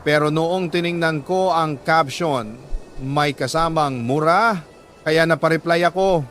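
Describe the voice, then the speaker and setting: A man reading a listener's love letter as a radio storyteller, in sentimental dramatic narration with deliberate pauses. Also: a little high, a bit bright, soft background music.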